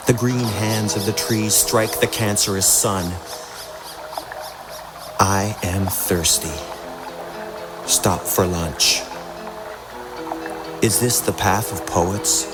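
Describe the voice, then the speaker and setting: A man reciting surreal first-person poetry in a deliberate, unhurried way. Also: low-pitched, steady tone. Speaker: poetry reader. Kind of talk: spoken-word poetry reading. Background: music soundscape.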